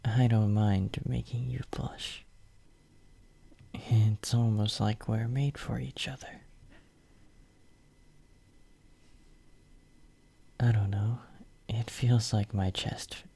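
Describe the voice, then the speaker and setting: A man playing a devoted, possessive young hero in a romantic ASMR roleplay, murmuring tenderly and intimately in a breathy whisper close to the microphone. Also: fairly low pitch, fairly even intonation, slow, dark tone, heavily acted. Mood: bashful, smitten. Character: devoted, possessive young male hero soothing his lover.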